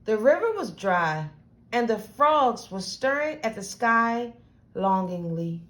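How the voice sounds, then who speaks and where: Slow, high-voiced woman storyteller. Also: big pitch swings, a bit bright. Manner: deliberate, dramatic phrasing for young listeners. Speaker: children's storyteller, host. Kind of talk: expressive read-aloud of a picture book.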